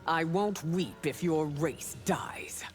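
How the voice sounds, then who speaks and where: An actress playing a proud, imperious, ageless empress in a video game, regal and cutting in manner. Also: female voice, low-pitched, slow pace, faint music behind. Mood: cold, scornful. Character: proud, imperious empress, thousands of years old. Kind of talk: video game character dialogue.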